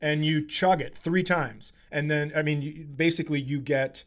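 Joking man with a slightly higher voice. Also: steady tone, moderate pace. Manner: joking, playfully teasing. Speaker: podcast host. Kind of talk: casual comic chat.

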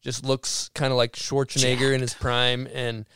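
A man's voice: medium pitch, completely flat delivery, normal speed, fairly bright tone, clean tone, no room sound, clean studio sound. A man hosting a podcast, chatting casually in a jokey, rambling way with bro humor. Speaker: podcast host. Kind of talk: casual chat.

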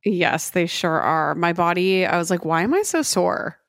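A woman with a fairly low voice hosts a true crime podcast, her throat hoarse from karaoke. She keeps it chatty and upbeat, recorded dry with no room sound. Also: lively intonation, moderate pace, fairly bright tone, no room sound. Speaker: podcast host.

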